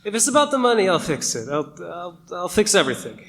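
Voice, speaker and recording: A man's voice: high-pitched, sing-song, fast talker, fairly bright tone, heavily acted. A man playing a nervous, placating radio co-host in a radio drama, hesitant and prone to stammering. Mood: anxious, flustered. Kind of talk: dramatic radio play acting.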